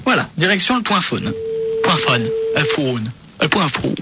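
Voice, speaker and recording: A man's voice: a little high, big pitch swings, unhurried, very noisy. A man hosting a radio show, joking playfully with mock outrage and frequent laughter. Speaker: radio host.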